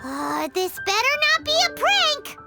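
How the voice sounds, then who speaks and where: A woman voicing a tiny, childlike fairy companion in a video game, squeaky, perky and cheeky. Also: big pitch swings, a little slow, gravelly, exaggerated voice. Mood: skeptical, indignant. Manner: perky, refers to self in third person. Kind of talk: animated video game character acting. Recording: loud music behind the voice.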